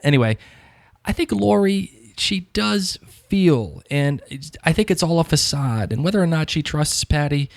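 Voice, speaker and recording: A man's voice: fairly high pitch, animated, moderate pace, vocal fry. A man hosting a podcast, answering listener messages in a sympathetic, casual way and thinking aloud, with what he calls a thick accent. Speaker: podcast host.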